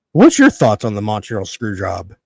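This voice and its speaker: A man's voice: fairly low pitch, gravelly, no room sound, clean studio sound. A man in his early thirties chatting casually as a podcast host, swearing freely and acting out his reactions as he tells stories. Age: early 30s. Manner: foul-mouthed, animated storytelling with quoted reactions. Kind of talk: casual chat, ranting and storytelling.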